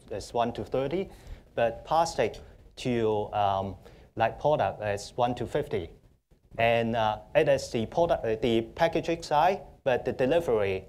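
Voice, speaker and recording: A young man from Hong Kong with a Hong Kong accent, speaking as a panelist in a conference hall, halting and full of fillers. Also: medium pitch, darkish tone. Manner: halting, many fillers and restarts. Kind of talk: panel discussion.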